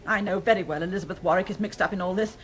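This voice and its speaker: An actress in a radio drama playing a distraught, bitter mother, emotional and quick to plead or accuse. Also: medium pitch, natural voice. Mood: bitter, evasive.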